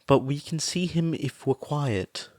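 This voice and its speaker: A man's voice: on the high side, measured pace, darkish tone, no echo, studio quality, voice pushed up, lightly acted. Man putting on a meek stammering mother. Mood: tearful. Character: gentle tearful worried mother.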